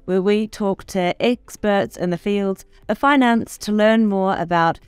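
A woman's voice: mid-range voice, a little slow, clear, resonant tone, no echo. A woman in her thirties hosting an interview series, with a polished and upbeat delivery. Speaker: host. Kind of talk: scripted introduction and interview questions. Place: studio set with city backdrop. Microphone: desk microphone.

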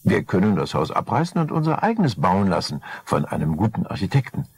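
A man doing a smooth, scheming husband, gravelly and animated. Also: low voice, a little fast, heavily acted. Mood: ingratiating. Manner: ingratiating, calculating.

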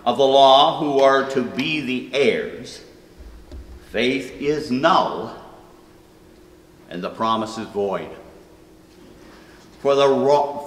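An older man reading scripture aloud at a church lectern in a slow, measured, emphatic way. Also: mid-range voice. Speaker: scripture reader. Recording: roomy church hall reverb.

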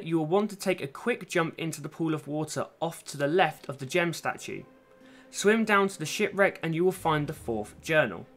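Male gaming guide narrator, fairly high voice, scripted and instructional, music underneath. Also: fairly bright tone. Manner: instructional, step-by-step delivery. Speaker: gaming video host.

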